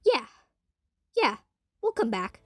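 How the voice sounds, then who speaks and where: A woman's voice: fairly high pitch, sing-song, slow, no room sound, soft background music, heavily acted. A woman playing a practical, dry-witted young woman in an audio drama. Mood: firm, friendly.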